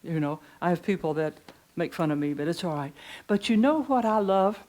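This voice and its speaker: An older woman with a deep voice leading a chatty preaching workshop in a conference room, reading hymn lyrics slowly. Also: expressive, a little mellow. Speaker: pastor teaching preachers. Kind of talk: informal preaching workshop talk. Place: conference room with seated audience.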